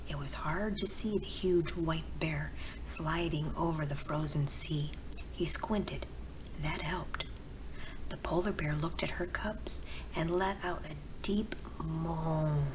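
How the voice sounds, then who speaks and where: A woman narrates a bedtime story in a low, soft, breathy voice. The reading is gentle and very unhurried. Clear background noise sits under the recording. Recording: clearly noisy background with ambience.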